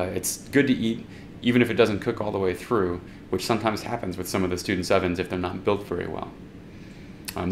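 A man's voice: fairly low pitch, slight background noise. Young man explaining in a classroom. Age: young adult. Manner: casual, with fillers and restarts. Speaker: instructor. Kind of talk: instructional talk to camera. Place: indoor classroom.

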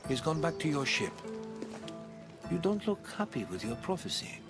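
Thoughtful middle-aged scholar, lively intonation. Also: male voice, on the high side, moderate pace, over music. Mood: skeptical. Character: thoughtful, skeptical bearded Greek scholar. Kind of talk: video game cutscene acting.

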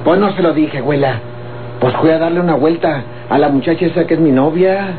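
An actor playing a young rural Mexican grandson, evasive and placating, in a folksy, coaxing radio soap style. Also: male voice, on the high side, brisk, a crowd in the background, lightly acted. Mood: casual. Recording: old tinny, noisy radio recording.

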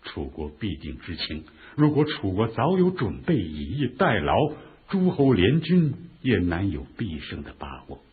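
Wise scheming ancient minister, real raspy low voice, measured, radio drama, lo-fi audio. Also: male voice, wildly swooping pitch, full character voice. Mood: calculating. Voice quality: husky. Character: shrewd, measured chief minister advising his lord. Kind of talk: historical radio drama acting. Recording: dull, lo-fi radio drama recording.

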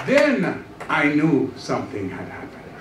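A man's voice: a little high, measured pace, very noisy. A middle-aged man preaching a testimony sermon on a church stage into a handheld mic, acting out the dialogue as he tells it. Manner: animated storytelling, acts out dialogue. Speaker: guest pastor. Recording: echoey room from far off.

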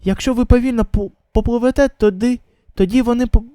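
A woman voicing a cautious, observant survivor who explains haltingly in a manga dub. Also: fairly high pitch, fairly even intonation, mellow, dry recording, close to an everyday voice. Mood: tense, careful.

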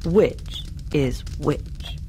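A woman voicing a stern male messenger from an emperor, speaking in a formal, measured way. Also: low voice, mellow, slight background noise. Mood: challenging. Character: stern male imperial envoy posing riddles.

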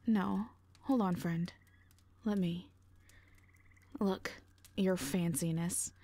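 Woman as a husky, blunt mercenary. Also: fairly low pitch, steady tone, very slow, dark tone, exaggerated voice. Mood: sardonic, firm. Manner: blunt, sardonic. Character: brash, defiant female mercenary, protective and unimpressed. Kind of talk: audio drama acting.

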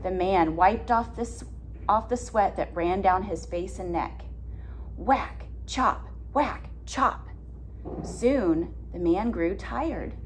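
A woman in her thirties reading a picture book aloud as a teacher, deliberate and expressive, explaining simply. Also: mid-range voice, a little hiss or hum. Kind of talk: children's read-aloud with simple questions. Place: classroom, indoors.

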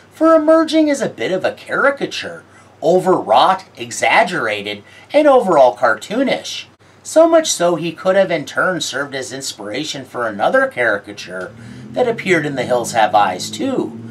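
Woman host, low and husky, wildly animated intonation, dry sardonic reviewer. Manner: wry, sardonic, theatrical delivery. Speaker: horror film reviewer. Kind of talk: scripted video-essay commentary.

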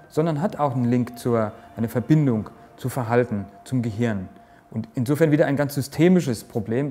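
A man in his forties speaks as a research director, explaining his work earnestly with the odd filler and restart. His voice sits at a middle pitch with a mellow tone. He is recorded on a lapel mic in an office, with faint music underneath. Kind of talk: interview statement. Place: office with microscope, indoors.

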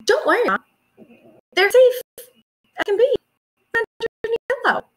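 A woman's voice: very high-pitched, animated, moderate pace, a bit bright, dry recording, pitched above the performer's own voice. A young woman voicing an eager, earnest little girl in a children's storybook read-aloud. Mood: reassuring, eager. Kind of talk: children's storybook character reading.

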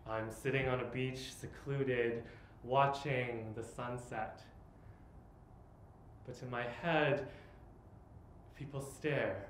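A man in his twenties performing an autobiographical spoken-word monologue on stage, with dramatic, deliberate phrasing and long pauses. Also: medium pitch. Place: live on stage in a theatre. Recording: echoey theatre, live stage recording, background noise.

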